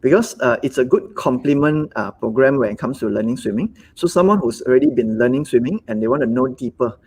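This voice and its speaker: Husky Malaysian-accented businessman. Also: mid-range voice, darkish tone. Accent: Malaysian English. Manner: halting, repeats words, acts out quotes. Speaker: business owner guest. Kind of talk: live webinar conversation.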